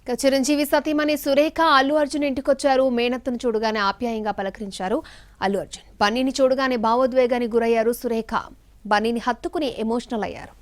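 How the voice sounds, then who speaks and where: A woman's voice: a little high, steady tone, fast. A woman narrating a news report in a scripted voice-over style.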